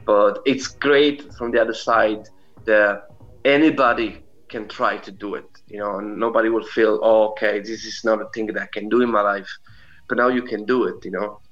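A man's voice: fairly low pitch, steady tone, moderate pace, a bit bright, muffled recording. A middle-aged man with an Italian accent talking casually as an interview guest, in a rambling manner peppered with filler phrases. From Italy. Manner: rambling, frequent "you know". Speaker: interview guest, indie musician.

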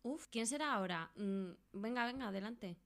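A woman's voice: on the high side, expressive, normal speed, a bit bright. A woman from Spain with a Spanish accent playing a lazy, irritable young male law student in an amateur radio play. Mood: mildly annoyed.

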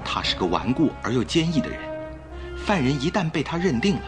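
A man playing a suave, composed, romantic gentleman traveler in a courteous, theatrical radio drama style. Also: medium pitch, very animated, fairly quick, over music, lightly acted. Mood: intent, knowing. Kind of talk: Mandarin radio drama acting.

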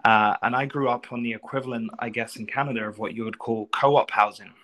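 Male coach as podcast guest, North London accent, mid-pitched, polite, conversational storyteller. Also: fairly even intonation, brisk, fairly bright tone. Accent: North London English. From North London, England. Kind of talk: casual interview storytelling.

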